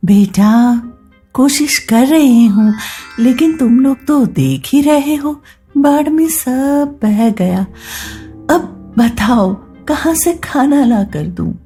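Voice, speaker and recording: Woman as a careworn village mother, fairly high-pitched. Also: lively intonation, slow pace, warm tone, faint music behind. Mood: helpless, tender. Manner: distressed, earnest, tender. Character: poor, devoted village mother, anxious and careworn. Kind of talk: moral story character voice.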